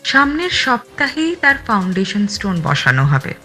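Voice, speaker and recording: An actress playing a cold, scheming murderous wife in an audio thriller, composed, menacing and contemptuous. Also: female voice, mid-range voice, sing-song, moderate pace, sharp tone, soft background music. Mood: coldly contemptuous. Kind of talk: dramatised audio thriller acting.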